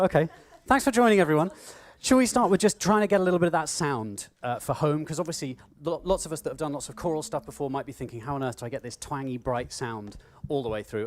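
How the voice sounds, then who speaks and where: A man with a London English accent and a medium-pitched voice. He hosts a choir workshop in a casual, conversational way, speaking to the participants directly. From London, England.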